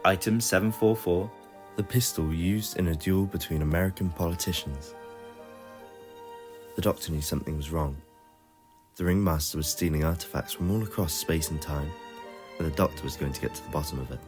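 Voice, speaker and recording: A man's voice: low-pitched, fairly quick, long pauses, darkish tone, faint music behind. A man reading scripted narration for an adventure audio story.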